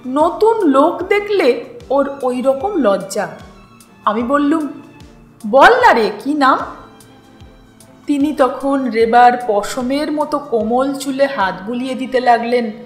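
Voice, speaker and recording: A woman reads a literary story aloud in an expressive, deliberate narrating style. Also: high voice. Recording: roomy echo with music behind. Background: music.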